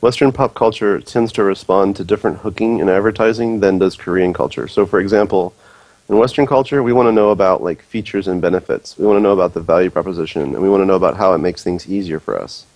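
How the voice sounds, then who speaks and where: A man's voice: low-pitched, fast talker, darkish tone, no room sound, rough recording. A man speaking earnestly and persuasively on a casual podcast, with many fillers. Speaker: podcast guest, league executive.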